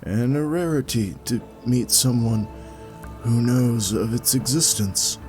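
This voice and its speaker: A man voicing an ancient, frail hermit mystic with a dry, croaking voice, speaking slowly and warily. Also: mid-range voice, a little mellow, soft background music, pitched above the performer's own voice, lightly acted. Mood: wary. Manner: slow, measured speech.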